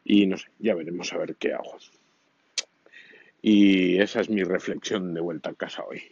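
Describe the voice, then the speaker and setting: A man's voice: low-pitched, fairly even intonation, normal speed, creaky, darkish tone, husky, no echo. A man hosting a casual podcast, sharing a rambling spoken reflection with many fillers and restarts. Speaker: podcast host. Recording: dull lo-fi sound.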